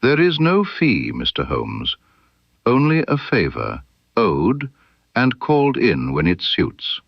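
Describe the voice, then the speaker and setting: Guy reading as a knowing underworld lady in a detective tale, soft, guarded, amused, takes her time, low creaky voice. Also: wildly swooping pitch, no room sound, lo-fi sound, heavily acted. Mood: cold, firm. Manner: soft, deliberate, veiled warnings.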